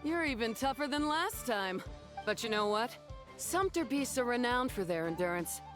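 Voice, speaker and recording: A woman's voice: on the high side, sing-song, normal speed, over music. A woman voicing a spirited young woman competing in a beast-racing contest in a video game, who talks with sporty, encouraging banter. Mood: cheering.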